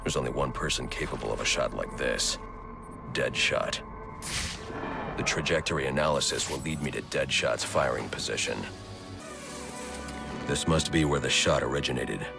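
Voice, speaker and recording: An actor playing a grim, brooding vigilante detective in a video game, terse and deadpan with a gravelly voice. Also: male voice, very deep voice, normal speed, long pauses. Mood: grim, analytical. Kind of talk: video game voice acting, investigative monologue. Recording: loud music underneath.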